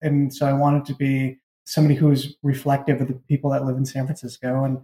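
A man's voice: mid-range voice, monotone, quick pace, mellow. A man speaking conversationally in an interview, as a writer explaining his creative work. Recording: roomy, echoing sound.

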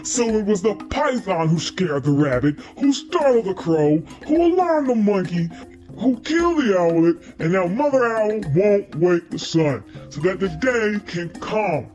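A grave lion king acted out by a woman storyteller with a lowered voice and wide, swinging pitch. He speaks in a solemn, declamatory storybook cadence, with faint music behind him. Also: big pitch swings, unhurried, warm tone, muffled recording. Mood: solemn, proclaiming. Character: grave, commanding male lion king judging animals. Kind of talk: storybook character reading.

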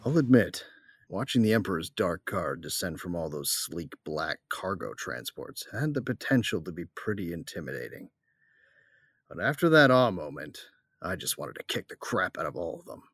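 Cocky low-voiced young space soldier. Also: male voice, fairly bright tone, no echo, clean studio sound, exaggerated voice. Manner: irreverent, colloquial. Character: cocky battle-loving soldier son narrating a space battle. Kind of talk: first-person dramatic narration. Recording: music behind the voice.

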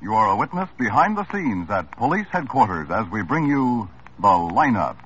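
A man's voice: on the low side, fairly bright tone, slightly rough. A man giving a formal announcer's read between segments of an old radio crime drama. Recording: dull 1950s radio broadcast recording.

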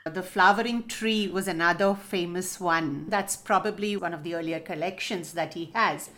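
A woman's voice: lowish voice, normal speed, fairly bright tone. A woman producer hosting a podcast segment, introducing guests in a friendly way.

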